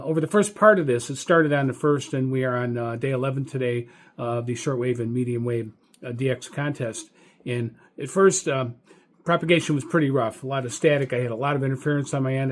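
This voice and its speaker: An American man who hosts a hobby radio channel, giving a casual, enthusiastic update in a halting, folksy way and repeating words for emphasis. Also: mid-range voice. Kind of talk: casual video update monologue.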